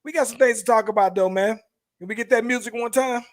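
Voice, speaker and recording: A man hosting a podcast, groggy today, telling a long rambling story in a relaxed way and calling his listeners "man" and "bro". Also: very high-pitched, fast, no echo, clean studio sound. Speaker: podcast host. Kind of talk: casual podcast chat.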